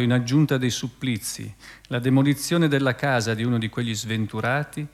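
A man giving a measured, solemn dramatic reading for radio theatre, with deliberate pauses. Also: medium pitch. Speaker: narrator in a radio theatre piece. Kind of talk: dramatic scripted narration, theatrical literary reading. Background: soft music with plucked guitar.

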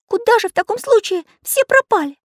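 A woman voicing a small, gentle male pencil artist from a children's story, anxious and pleading. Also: very high voice, expressive, fairly quick, no room sound, clean studio sound, pitched above the performer's own voice. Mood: frightened. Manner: trembling, pleading.

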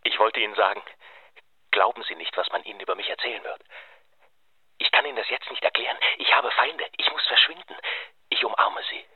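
An actor playing a hunted man in a radio drama, speaking urgently and tenderly in a hushed farewell over the telephone. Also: on the low side, lively intonation, fairly quick, pauses often, slightly rough. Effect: telephone filter. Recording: tinny, echoing phone line.

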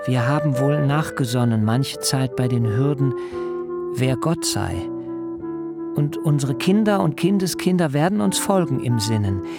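An actor playing the biblical Jacob as a young man tenderly in love, speaking in a solemn, intimate way and at times dropping to a whisper. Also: medium pitch. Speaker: radio drama actor. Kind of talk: literary radio play acting.

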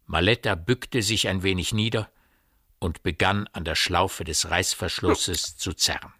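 A man's voice: deep voice, unhurried, pushed and bright, breathy edge, dry recording, clean studio sound, exaggerated voice. A man narrating a literary radio play in a precise, formal way. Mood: intense.

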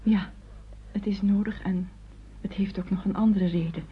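A woman's voice: mid-range voice, steady tone, moderate pace, breathy edge. An actress playing a determined, earnest young Frenchwoman, a devoted daughter who speaks politely and insistently in a naturalistic radio drama. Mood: intimate, confiding. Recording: dull old radio play recording.

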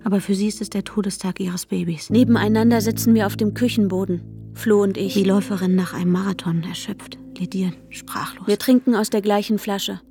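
An actress playing a distraught, insistent young woman searching for her missing fiancé in an intimate radio drama, often hushed and halting. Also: medium pitch, steady tone, close to an everyday voice. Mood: numb, drained. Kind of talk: radio drama, intimate first-person narration and dialogue. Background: music.

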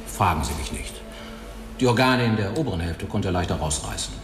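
A blunt, cynical coroner. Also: male voice, low-pitched, animated, fairly quick, roomy sound, long reverb tail, over music. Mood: clinical. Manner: blunt, matter-of-fact, coarse. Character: gruff cynical male coroner, older, blunt. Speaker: coroner in a radio play. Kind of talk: radio drama acting.

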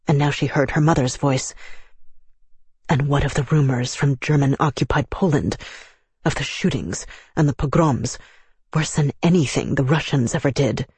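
Audiobook narrator, measured and solemn, mid-pitched and husky. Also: a little mellow, no echo. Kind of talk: scripted narration.

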